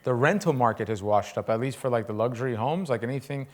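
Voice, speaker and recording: A man hosts a relaxed podcast interview and talks about the market in a blunt, emphatic way. His questions and opinions come in plain, everyday conversation. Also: mid-range voice, fast talker. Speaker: podcast host.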